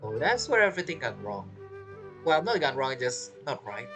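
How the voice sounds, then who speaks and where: A man's voice: mid-range voice, sing-song, normal speed. Chatty male gamer over faint music. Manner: chatty, reactive, non-native phrasing. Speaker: streamer playing a game. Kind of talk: casual gaming commentary. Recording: game music behind the voice. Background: game music.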